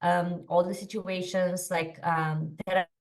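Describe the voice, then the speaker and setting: Chatty Romanian-born woman, low voice, distant mic. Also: level tone. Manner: quick, chatty, many fillers and "like". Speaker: podcast guest, founder. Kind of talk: casual interview storytelling.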